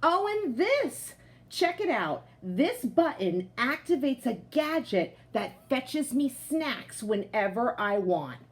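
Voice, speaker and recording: A woman's voice: high voice, big pitch swings, slow pace, heavily acted. A woman voicing a smug, comfort-loving male potato from a picture book, boastful and exclamatory. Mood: boastful, delighted. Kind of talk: picture-book character narration.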